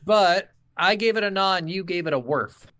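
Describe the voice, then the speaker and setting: A man's voice: high voice, animated, moderate pace, crowd noise. A man co-hosting a podcast, chatting casually about games in a blunt, profane, ranting way. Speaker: podcast host. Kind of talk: casual game review chat.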